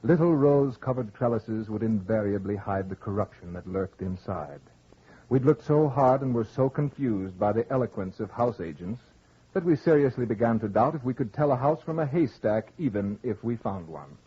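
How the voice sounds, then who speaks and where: An actor playing a young painter husband who tells his tale in literary, measured phrases in an old radio drama. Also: fairly low pitch, lively intonation, breathy edge. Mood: wistful, reflective. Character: young painter husband, wistful storyteller, tender and teasing. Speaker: actor narrating in character. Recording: dull vintage radio broadcast with music.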